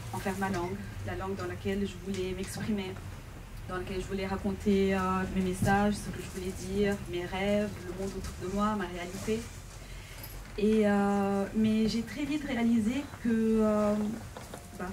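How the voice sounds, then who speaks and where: Woman, Arabic speaker, earnest and measured, many pauses, picked up from across a noisy bookshop. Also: mid-range voice. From Lebanon. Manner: earnest, measured, pausing often. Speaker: author at a book event. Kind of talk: reflective personal talk. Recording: distant mic with background noise.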